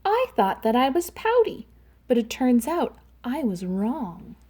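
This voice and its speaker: A woman's voice: high voice, mellow, voice pushed up, heavily acted. A woman voicing a glum male fish with a pouting face, in a sing-song rhyming delivery. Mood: cheerful.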